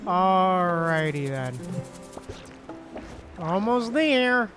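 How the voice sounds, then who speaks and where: Slow, easygoing male game streamer. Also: sits high, expressive, fairly bright tone, soft background music. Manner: chuckles often, murmurs to self. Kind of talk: casual gameplay commentary.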